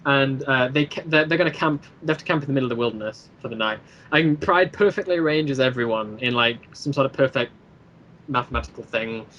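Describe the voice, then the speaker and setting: A man in a casual podcast chat, explaining his own creative work in a rambling, halting way and repeating phrases as he goes. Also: medium pitch, a bit bright, lo-fi sound, slight background noise. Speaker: guest, creator of an audio drama series.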